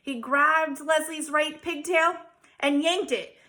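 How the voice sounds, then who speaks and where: A woman, a teacher, reading a children's story aloud with dramatic emphasis and theatrical pauses. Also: high-pitched, steady tone, pushed and bright. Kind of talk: expressive children's storybook narration.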